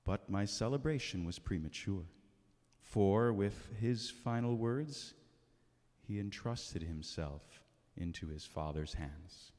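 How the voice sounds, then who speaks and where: A man's voice: fairly low pitch, slow pace, darkish tone. A man playing Agony personified as a cruel, relentless, gloating spirit in a grave, dramatic church reading. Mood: grave, defeated. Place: church sanctuary during a service. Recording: reverberant church hall.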